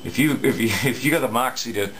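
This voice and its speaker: A man's voice: mid-range voice, fast talker, noisy background. A man talking casually at his workbench, explaining a radio repair with many fillers and restarts.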